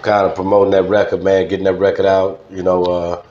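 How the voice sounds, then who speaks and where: Older man, low voice, Louisiana Southern accent, easygoing folksy storyteller in a muffled recording. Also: level tone, a little mellow, a little hiss or hum. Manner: unhurried, folksy, with frequent "man" and "you know". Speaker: interview guest, music promoter. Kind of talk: casual reminiscing interview. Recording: roomy, dull lo-fi sound.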